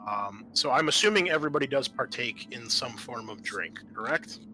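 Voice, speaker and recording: Bright-voiced man running a roleplaying game. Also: medium pitch, lively intonation, moderate pace, faint music behind, poor audio. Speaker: game master. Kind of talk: narrating a tabletop roleplaying game.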